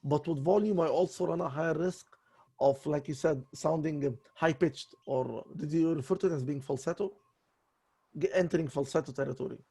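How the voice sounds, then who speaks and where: A raspy man speaks in a halting, apologetic way, doubling back on his words again and again. His voice is dark and gravelly, sitting a little higher than most men's, with a husky edge throughout. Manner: apologetic and halting, many restarts. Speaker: voice student. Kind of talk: voice lesson conversation.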